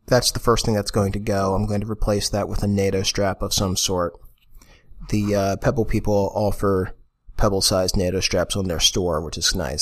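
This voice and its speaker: Low-voiced young man grumbling casually. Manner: casual, mildly grumbling, with fillers. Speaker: podcast host. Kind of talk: solo podcast review. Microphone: USB condenser mic on a boom arm.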